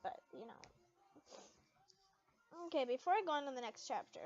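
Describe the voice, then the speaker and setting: A young woman reading fan fiction aloud in an informal, excitable way, stumbling over words and dropping into casual asides. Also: high-pitched, moderate pace. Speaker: amateur fan fiction reader. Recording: muffled lo-fi home recording.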